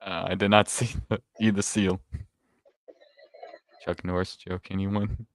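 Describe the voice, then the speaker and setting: A man's voice: deep voice, level tone, measured pace, no echo. A man chatting casually with dry joking asides as a co-commentator on a game stream.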